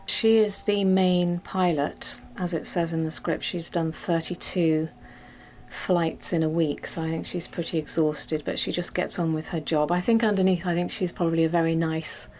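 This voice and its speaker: A woman speaks in a slightly low voice, as an actress being interviewed. She is matter-of-fact and conversational. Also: steady tone, normal speed, a little mellow, rough recording. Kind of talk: behind-the-scenes interview.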